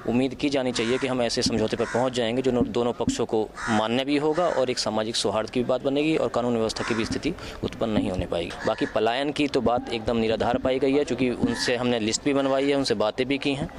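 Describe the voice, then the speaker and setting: Fast-talking male official, noisy surroundings. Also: mid-range voice, fairly even intonation. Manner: formal, bureaucratic. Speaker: local administrative official. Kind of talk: official statement to press. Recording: clear outdoor background noise.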